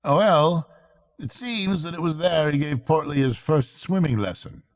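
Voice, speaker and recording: A worried water rat from a bedtime storybook, acted out by a man. The voice is lifted high and confides gravely and earnestly, like a kind friend sharing troubles. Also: a little slow, lightly acted. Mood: grave, sympathetic. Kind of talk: bedtime storybook character reading.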